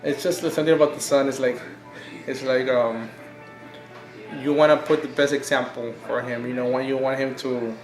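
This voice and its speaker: A man's voice: medium pitch, fairly even intonation, moderate pace, over music, rough recording. A man in his thirties with a Mexican-American accent, talking in a documentary interview about his fighting career in a rambling, repetitive way with many fillers. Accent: Mexican-American English. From US-Mexico border region.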